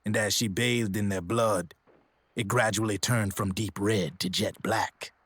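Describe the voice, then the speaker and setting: An actor playing a streetwise, glib American arms dealer in a video game, with a slangy, theatrical storytelling manner. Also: male voice, lowish voice, fairly even intonation, a little slow, a bit bright, slightly rough, dry recording. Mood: grim storytelling. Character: streetwise, glib arms dealer telling grim war stories. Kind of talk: video game cutscene voice acting.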